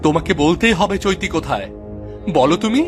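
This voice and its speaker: Male actor as young village man, high-pitched, earnest, pleading, emphatic, cartoon drama, music behind. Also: animated, fairly quick, natural voice. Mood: insistent, agitated. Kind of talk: dramatized Bengali cartoon story dialogue.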